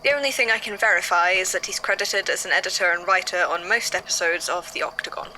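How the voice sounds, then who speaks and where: A woman's voice: lowish voice, quick pace, bright, forceful tone, slight background noise, poor audio. A woman playing an inquisitive, slightly harried male archivist who muses over case notes conversationally in an audio drama. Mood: musing, matter-of-fact. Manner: conversational, musing, hesitant.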